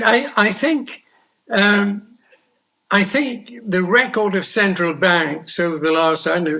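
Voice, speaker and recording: An older man from the United Kingdom speaking as a panel guest, measured and deliberate as he searches for words. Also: high voice, vocal fry, sharp tone. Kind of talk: panel discussion.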